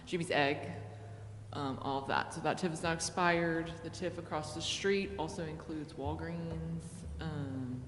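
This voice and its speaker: A woman's voice: deep voice, fairly even intonation, a little slow. A woman on city staff answering questions in a matter-of-fact way, with frequent ums. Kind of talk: answering questions at a public meeting. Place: city council chamber. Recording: echoey chamber, long reverb, faint hiss.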